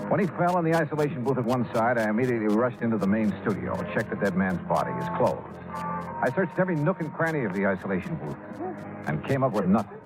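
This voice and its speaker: An American actor playing a brisk, commanding hard-boiled investigator with clipped delivery in 1950s radio noir. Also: male voice, on the low side, natural voice, rough recording. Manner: clipped, authoritative.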